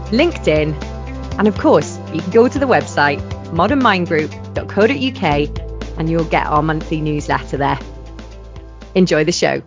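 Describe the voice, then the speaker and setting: A woman hosting a business podcast interview, effusive and upbeat. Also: on the low side. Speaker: podcast host. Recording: dull lo-fi sound with music behind.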